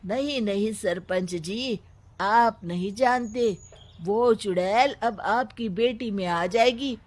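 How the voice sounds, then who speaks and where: A woman's voice: mid-range voice, slow pace, darkish tone. A woman voicing a loyal young village man in a cartoon, a protective brother who speaks urgently and earnestly.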